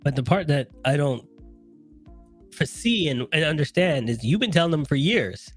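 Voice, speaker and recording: A man's voice: fairly high pitch, lively intonation, normal speed, faint music behind. A man hosting a conversational interview, thoughtfully summing up and restating what his guest has told him. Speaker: talk show host, interviewer.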